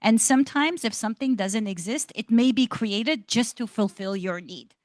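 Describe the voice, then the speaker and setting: A woman's voice: on the high side, normal speed, no room sound, clean studio sound. Enthusiastic woman speaking on a panel. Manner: articulate, enthusiastic, encouraging. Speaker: institute director, panelist. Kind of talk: panel discussion answer. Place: lecture hall panel event.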